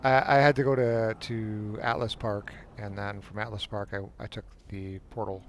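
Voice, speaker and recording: A man's voice: lowish voice, level tone, moderate pace, slight background noise. A man chatting casually while playing a game at home, with a dry, teasing manner. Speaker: streamer playing an online game. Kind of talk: casual gameplay chat.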